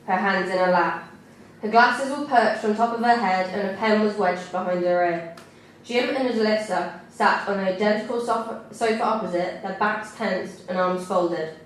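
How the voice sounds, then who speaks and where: A woman reading her own writing at a live literary event, with a measured, deliberate delivery. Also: mid-range voice. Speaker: compere and writer reading own work. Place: indoor live event venue. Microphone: distant camera recording. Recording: echoey room recorded from far off, faint noise.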